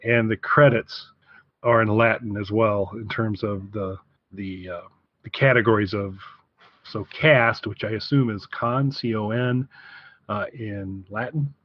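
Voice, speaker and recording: A man co-hosting a casual review podcast, talking haltingly as he repeats and rephrases his way through each point. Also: lowish voice, steady tone, dry recording. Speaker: podcast co-host. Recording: dry, slightly dull podcast audio.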